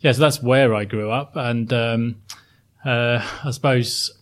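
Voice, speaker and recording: A middle-aged man from Sheffield with a softened, well-spoken Northern English accent, answering interview questions with many ums and restarts. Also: mid-range voice, fairly even intonation, a little slow. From Sheffield, England. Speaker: guest, former chartered accountant.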